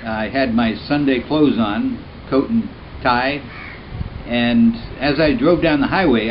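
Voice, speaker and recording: A man born and raised in Vietnam, telling a personal testimony in an earnest, plain-spoken way with frequent pauses. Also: mid-range voice, muffled recording, slight background noise. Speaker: storyteller. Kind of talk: personal testimony told to camera.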